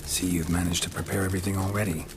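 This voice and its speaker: An actor playing a wry, stoic monster hunter in a video game, gravelly and laconic with deadpan, clipped phrasing. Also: male voice, sits low, very fast, heavy background noise, natural voice. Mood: wry, flat. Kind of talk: video game cutscene dialogue.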